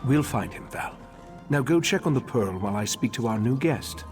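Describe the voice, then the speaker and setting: An actor playing a composed, formal leader of a magic order, in a video game. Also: male voice, mid-range voice, animated, moderate pace, over music, close to an everyday voice.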